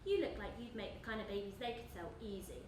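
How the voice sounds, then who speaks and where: A woman acting a menacing, cruel woman in a live stage play, speaking in a deliberate, taunting way. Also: medium pitch, lively intonation, lightly acted. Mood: taunting threat. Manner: deliberate, taunting, repetitive phrasing. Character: menacing, cruel woman calmly threatening her victim. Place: indoor stage of a live theatre performance. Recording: echoey theatre, distant mic, noisy.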